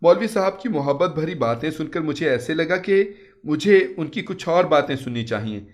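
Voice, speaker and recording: A man's voice: a little high, expressive, moderate pace. Male storyteller narrating a moral tale. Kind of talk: scripted narration of a first-person moral story.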